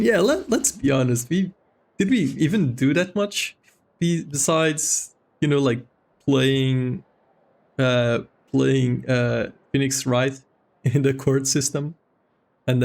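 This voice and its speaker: A man game streamer chatting casually over gameplay, explaining things in a halting, informal way. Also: mid-range voice, creaky, darkish tone. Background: faint game music.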